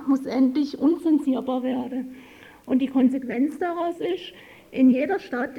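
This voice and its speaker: A woman from a community radio team presenting her project live on air. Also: high voice. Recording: dull lo-fi radio recording.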